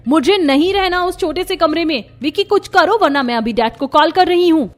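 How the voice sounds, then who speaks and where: A woman's voice: very high-pitched, lively intonation, fairly quick. A woman voicing a spoiled, brash young modern daughter-in-law in a cartoon story, haughty and demanding, mixing in English words. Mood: angry, indignant. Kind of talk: Hindi cartoon story dialogue.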